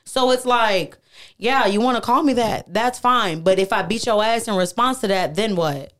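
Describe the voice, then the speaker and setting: A woman's voice: on the high side, moderate pace, a bit bright. A young woman in her twenties makes her case on a podcast. She is blunt and heated, swears freely, and keeps pressing her point over interruptions. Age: 20s. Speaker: podcast host. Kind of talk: casual podcast debate.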